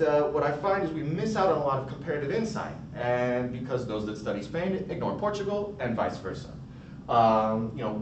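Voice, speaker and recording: A young American man giving a recorded academic conference talk in a casual, chatty way, full of fillers and restarts. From United States. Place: college room. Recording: distant, echoey, dull, noisy rumble.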